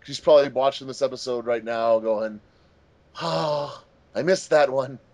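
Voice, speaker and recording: A man's voice: medium pitch, a little slow, darkish tone, no echo. Casual male host, rambling and hesitant. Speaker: host of a fandub commentary track. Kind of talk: casual group commentary.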